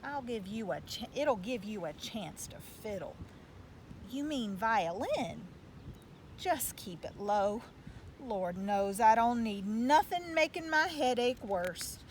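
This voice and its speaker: Lady reading as grumbly bossy baseball dad in a kids story, folksy, highish pitch, noisy background. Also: lively intonation, slow pace. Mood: gruff, weary. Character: gruff grumbling father, manager of a losing baseball team. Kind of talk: storybook read-aloud. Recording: clear background noise.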